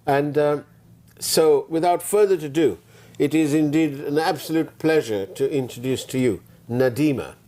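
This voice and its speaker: Halting man hosting an interview, dark tone. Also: on the high side. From Sri Lanka. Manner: halting, many fillers and restarts. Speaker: talk show host. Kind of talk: studio interview.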